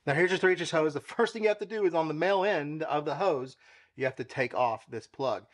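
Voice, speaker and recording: A man's voice: on the high side, a little fast. A young man hosting a car-care channel, casually explaining as he works with his hands in a home garage, talking to a camera mic while he moves around. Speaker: car detailing channel host. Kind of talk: casual product demo. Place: home garage with tiled floor.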